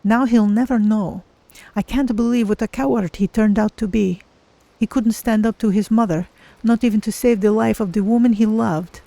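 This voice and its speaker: A woman's voice: medium pitch, fairly even intonation, normal speed, gravelly, mellow, no echo, natural voice. A betrayed young woman near death acted by a woman delivers a fantasy game monologue. She sounds sorrowful and bitter, with a grieving resolve. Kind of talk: video game drama monologue.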